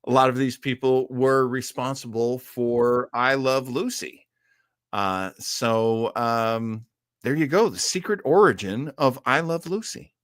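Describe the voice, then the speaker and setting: A man's voice: medium pitch, measured pace, a bit bright, no echo, studio quality. A man hosting a live show about old-time radio, talking casually to listeners in a hesitant, rambling, conversational way.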